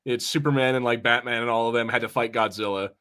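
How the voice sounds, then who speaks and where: A man's voice: medium pitch, steady tone, fast talker, pushed and bright, clear, resonant tone. Rambling man chatting casually on a podcast. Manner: rambling, self-correcting, casually profane. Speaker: podcast co-host. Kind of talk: casual watch party chat, reading viewer comments.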